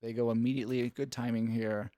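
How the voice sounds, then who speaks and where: A man's voice: medium pitch, flat delivery, fast, dark tone, no echo, studio quality. A man giving analytical play-by-play sports commentary in an instructive, explanatory way.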